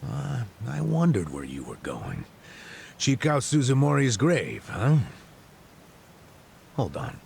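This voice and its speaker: An actor playing an aging detective near retirement, sly and persistent, with a gravelly, teasing manner. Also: male voice, mid-range voice, wildly swooping pitch, slow pace, long pauses, darkish tone, a little hiss or hum, heavily acted. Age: older. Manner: teasing, chuckling, prying. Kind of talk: dubbed game cutscene acting.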